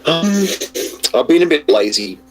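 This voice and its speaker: A man with a cold and a hoarse voice, chatting casually as a guest on an online call, hesitant with many fillers and restarts. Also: mid-range voice, lively intonation, slow pace, soft background music, poor audio.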